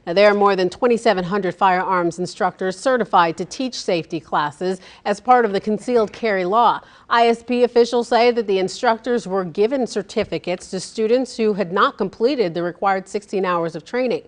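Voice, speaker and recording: An American woman anchors a local newscast in a mid-pitched voice. She reads scripted stories as a studio presenter on evening television. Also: moderate pace, hardly any pauses. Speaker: news anchor. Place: TV news studio.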